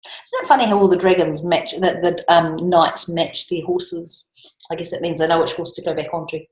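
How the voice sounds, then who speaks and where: A woman reading a picture book to children, expressively, drawing out key words and adding chatty asides. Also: on the low side. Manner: emphatic, drawn-out key words, questions to young listeners. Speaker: children's storytime reader. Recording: echoey room.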